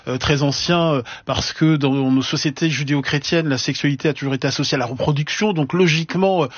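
A man hosting a radio panel discussion, putting measured questions with frequent hesitant fillers. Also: on the high side, breathy edge, dry recording, highs cut off. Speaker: radio host.

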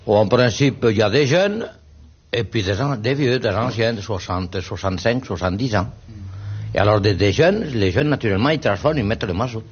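A man's voice: lowish voice, expressive, a little fast, slightly rough, muffled recording. A man who sells coal and fuel oil, answering questions plainly in an old archive interview.

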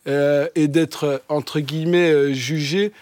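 A man speaks as a guest on a radio show, laid-back and a little rambling. His voice is fairly high for a man. The studio sound is dry. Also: steady tone, slow pace, a little mellow, no echo. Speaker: guest actor. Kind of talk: radio interview.

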